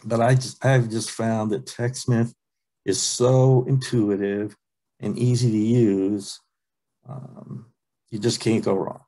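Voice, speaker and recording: A man presenting a software training webinar over a video call, relaxed and halting, with many ums and uhs. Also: medium pitch. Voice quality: plain, unexciting voice. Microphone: computer mic on a video call.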